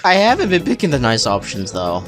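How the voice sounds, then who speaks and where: A man's voice: mid-range voice, wildly swooping pitch, brisk, music in the background. A man giving informal, exasperated commentary as he plays through a video game.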